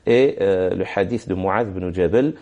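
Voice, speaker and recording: Gravelly low-voiced man giving religious advice. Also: measured pace, mellow. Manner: hesitant, many fillers, quotes Arabic formulas. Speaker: religious scholar answering questions. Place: TV studio.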